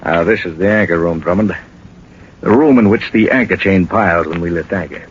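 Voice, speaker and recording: An actor playing a suave, menacing smuggler in a 1940s radio drama, speaking with sardonic, hesitant mock courtesy. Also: male voice, low-pitched, fairly quick, natural voice. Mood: threatening. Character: smug, menacing male smuggler villain, mockingly courteous. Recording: dull old radio recording, faint noise.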